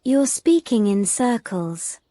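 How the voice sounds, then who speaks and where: Actress as curious mermaid, naive, very unhurried, close dry sound, fantasy audio drama. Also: female voice, on the high side, very animated, warm tone, no room sound. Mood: wondering. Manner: wondering, questioning, simply and stiffly worded. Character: curious, naive mermaid new to the human world.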